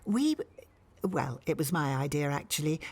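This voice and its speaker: An actress playing a brisk, bossy, chatty village organiser in a radio soap. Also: female voice, deep voice, very animated, darkish tone, husky, heavily acted. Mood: brisk, persuasive.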